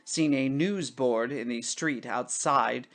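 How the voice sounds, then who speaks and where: A woman narrating a literary story as an expressive, dramatic storybook reader. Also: extremely low pitch, slow. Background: music.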